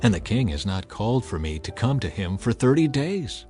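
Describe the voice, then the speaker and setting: A man voicing a resolute young queen in a solemn, measured manner for a dramatized scripture reading. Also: lowish voice, very animated, a little mellow, soft background music. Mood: grave, cautious.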